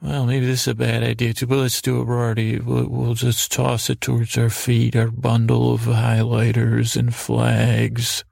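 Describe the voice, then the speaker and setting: A male podcast host talks in a heavily gravelly voice with a fairly narrow pitch range. He drifts through a halting, whimsical bedtime ramble, often doubling back to correct himself. The sound is dry, with no room around it. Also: monotone, darkish tone, no room sound. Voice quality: creaky, gravelly. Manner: meandering, halting, whimsical asides with self-corrections. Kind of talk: rambling bedtime monologue to help listeners sleep.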